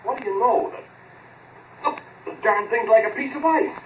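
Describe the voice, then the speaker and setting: An actor playing a confident, casually bossy American amateur inventor, brisk in manner, in a 1940s radio drama. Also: male voice, high voice, lightly acted. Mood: astonished. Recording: muffled, roomy, distant, faintly noisy 1940s radio.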